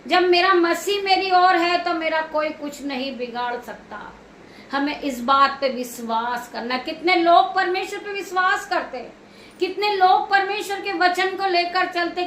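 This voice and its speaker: A woman delivers a fervent sermon in a high-pitched voice. She exhorts emphatically, repeating her phrases as she prays. The room rings with echo and there is some noise behind her. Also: sharp tone. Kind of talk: fervent Hindi sermon and evening prayer. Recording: echoey room with clear background noise.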